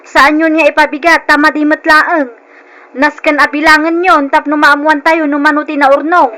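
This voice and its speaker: An actress playing a grasping, insistent sister-in-law who makes a show of sympathy, in an old-time Ilocano radio drama. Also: female voice, high voice, little pitch movement, normal speed, sharp tone.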